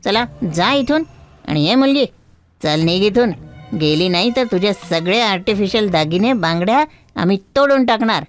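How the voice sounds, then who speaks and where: A woman voicing a blustering, bossy male market trader in an animated moral story. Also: mid-range voice, moderate pace, faint music behind, pitched below the performer's own voice, natural voice. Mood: bullying. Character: bullying male market traders, loud and threatening.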